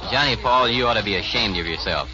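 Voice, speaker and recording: Man acting earnest folksy cowboy, rapid talker, animated, dull-sounding vintage radio western. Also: lowish voice, lightly acted. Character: earnest, good-hearted cowboy, protective of kindly old ladies. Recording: muffled 1940s radio recording.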